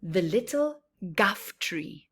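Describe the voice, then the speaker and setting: A woman reading a picture book aloud to children as a storyteller, with deliberate phrasing and hushed, admiring asides. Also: medium pitch, very animated, breathy edge. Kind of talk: expressive children's read-aloud with short asides.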